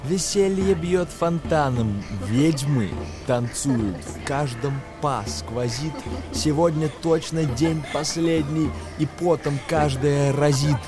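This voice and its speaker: An excitable male storyteller in a radio drama, relishing lurid witchcraft. His voice sits rather high and he declaims verse theatrically and emphatically. Music runs clearly underneath. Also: slow. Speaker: actor narrating a verse tale. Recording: music behind the voice.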